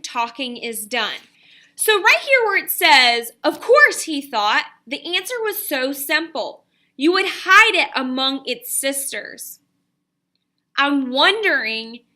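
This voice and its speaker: A woman, an elementary teacher reading a picture book aloud and giving pupils instructions in an expressive, deliberate way. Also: high-pitched.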